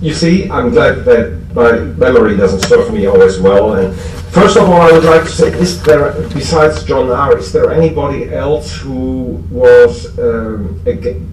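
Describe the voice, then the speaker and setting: A man with a German accent gives an informal, halting public talk about past times, with dry, self-deprecating humour. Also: medium pitch, a little mellow. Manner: self-deprecating, dryly joking. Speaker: speaker at a recovery fellowship convention. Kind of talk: informal public speech recalling history. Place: echoey room before an audience. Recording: echoey, noisy room, distant microphone.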